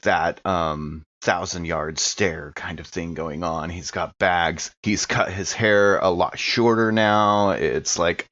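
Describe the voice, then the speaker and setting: A man's voice: low voice, a bit bright, no echo, dull audio. A man playing a tabletop game on a podcast, casually describing a character and hesitantly piecing his thoughts together.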